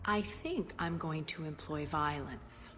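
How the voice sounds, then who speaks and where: Low-voiced, sardonic crime queen. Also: slow, a bit bright. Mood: bitter, sardonic. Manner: sardonic, commanding, dry. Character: cynical, imperious female alien crime boss. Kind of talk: video game acting. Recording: long reverb, music behind. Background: prominent music.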